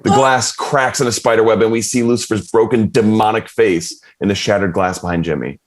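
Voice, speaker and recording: A man's voice: lowish voice, steady tone, brisk. A man reading stage directions as scripted narration for a dramatic table read.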